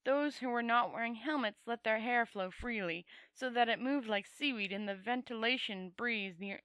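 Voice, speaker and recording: Casting: female amateur audiobook reader, fairly high bright voice, dry close sound, halting delivery with self-corrections. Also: dry recording. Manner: halting, often stumbling and correcting herself. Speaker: storyteller. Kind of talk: amateur read-aloud audiobook narration.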